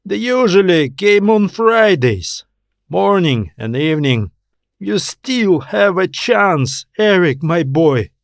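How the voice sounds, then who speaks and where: Man acting a desperate, halting old man. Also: high voice, big pitch swings, gravelly, dry recording, studio quality, pitched above the performer's own voice, full character voice. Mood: urgent pleading. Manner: halting, emotional, pleading. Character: distraught, pleading old man, frail and desperate. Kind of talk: audiobook character acting.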